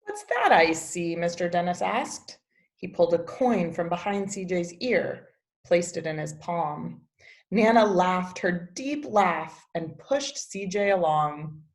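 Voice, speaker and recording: A woman reading a children's picture book aloud as a teacher, with expressive storybook narration. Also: lowish voice, slow. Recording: echoey, roomy sound.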